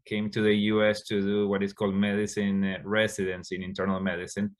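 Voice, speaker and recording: Man from Ecuador, Spanish speaker, low voice, monotone, halting with fillers, doctor in an interview. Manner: halting, many fillers and restarts. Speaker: endocrinologist guest. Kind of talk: interview answers.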